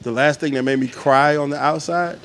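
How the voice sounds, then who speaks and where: A man's voice: fairly high pitch, fairly even intonation, fairly quick, a bit bright. Laid-back joking man, faint room hum. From Atlanta, Georgia. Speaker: podcast guest. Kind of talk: casual podcast chat.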